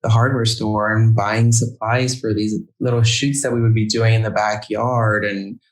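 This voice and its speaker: A young man talking as a podcast guest, hesitant and halting, restarting his sentences often. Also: on the low side, little pitch movement. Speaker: guest photographer. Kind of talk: casual interview chat.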